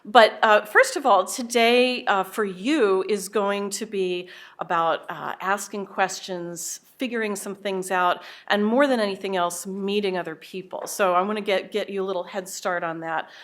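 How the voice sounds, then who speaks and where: A woman in her fifties, a professor, giving a conference talk in a lively, conversational way with a loud voice at a podium microphone in a hall. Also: medium pitch, moderate pace, hardly any pauses. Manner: lively, conversational, self-aware. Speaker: keynote speaker, professor.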